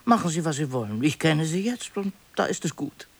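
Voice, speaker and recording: Fussy, chatty older office clerk. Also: male voice, on the high side, big pitch swings, fairly quick, gravelly, full character voice. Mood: frank, conciliatory. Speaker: actor in a radio play. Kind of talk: naturalistic radio play dialogue.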